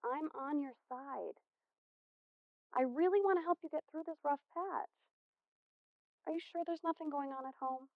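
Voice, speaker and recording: Stage role: patient, reasoning American school counselor, crackly high voice, dull sound. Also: female voice, dry recording. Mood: gentle concern. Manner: measured, reasoning, placating. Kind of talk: stage play acting.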